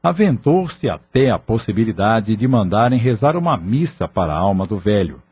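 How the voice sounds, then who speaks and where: A man's voice: fairly low pitch, very animated, normal speed, husky, highs cut off, full character voice. A man with a Brazilian accent narrating a scripted audio novel as its storyteller. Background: music.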